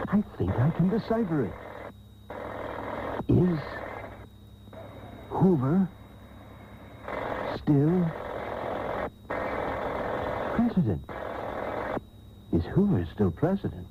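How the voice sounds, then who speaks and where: An actor playing a vain, self-pitying rich heir held captive, declaiming melodramatically in a parody radio soap. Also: male voice, fairly high pitch, very animated, drawn-out words, long pauses, heavily acted. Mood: wondering, intent. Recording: tinny, hissy taped radio broadcast.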